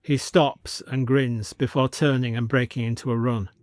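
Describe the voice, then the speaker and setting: A man's voice: medium pitch, steady tone, moderate pace, darkish tone, no echo, clean studio sound. A man narrating a scripted supernatural story.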